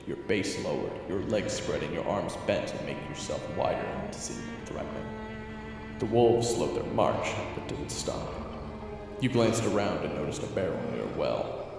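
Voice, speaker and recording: A man's voice: low-pitched, creaky. A man narrating a fantasy audio roleplay as a dramatic, scripted second-person story. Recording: very echoey, long cavernous reverb. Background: game-style background music.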